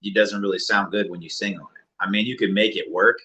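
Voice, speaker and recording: A man, a music producer and rapper, talking casually and reflectively as an interview guest in a deeper mid-range voice. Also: fast. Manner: relaxed, reflective, many fillers.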